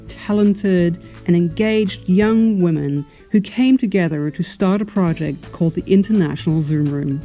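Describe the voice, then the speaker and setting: A woman host reads a smooth, scripted introduction in a low, mellow voice. Soft guitar music plays quietly behind her. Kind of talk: scripted narration.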